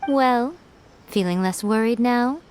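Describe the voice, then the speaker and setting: A woman voicing a composed, teasing fox spirit shrine maiden in an English video game dub, unhurried and self-assured. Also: a little high, noisy background. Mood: amused, assured. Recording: music behind the voice.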